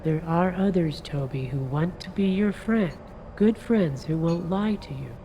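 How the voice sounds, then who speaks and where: A woman playing a calm, coaxing female detective. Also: lowish voice, a little slow, slight background noise. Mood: reassuring. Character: patient female police detective. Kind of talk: audio drama acting.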